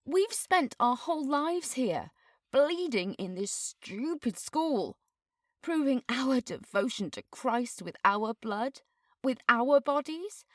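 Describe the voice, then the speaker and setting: Rebellious schoolgirl: highish voice, very animated, emphatic and bitter, dry recording. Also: slow pace, dry recording. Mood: defiant anger. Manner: emphatic, indignant, outspoken. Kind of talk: scripted audio drama.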